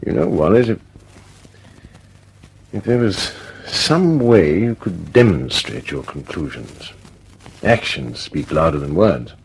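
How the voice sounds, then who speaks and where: Deep, husky British senior officer. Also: male voice, sing-song, heavily acted, low-quality recording. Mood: measured, cautious. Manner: measured, reassuring, wry. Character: dry, sympathetic senior male British air officer. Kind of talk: radio drama acting.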